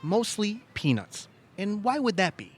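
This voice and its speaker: Male actor as aggrieved younger brother, obsessive, exasperated, insistent questioning, very animated intonation, comedic audio drama. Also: high-pitched, a little slow, a little hiss or hum. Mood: insistent, agitated. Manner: exasperated, insistent, leading questions like a cross-examination. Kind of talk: comedic audio theater dialogue.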